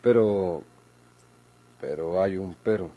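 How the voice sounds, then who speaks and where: An actor with a Mexican accent playing a stern, corrupt lawyer in a vintage radio drama, commanding and accusing. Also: male voice, low-pitched, slow pace, phone-quality sound. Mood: measured, firm. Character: stern, corrupt lawyer squeezing a prisoner for money.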